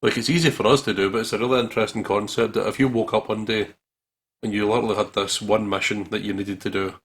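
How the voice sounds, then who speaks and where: A man's voice: fairly low pitch, fairly even intonation, fast, slightly rough. A man giving casual let's play banter as co-host, joking and a bit theatrical. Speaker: gaming video co-host.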